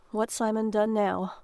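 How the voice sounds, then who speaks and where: A woman's voice: mid-range voice, a little mellow, no room sound, studio quality. A woman playing a weary, lonely mother of a troubled boy in an audio drama.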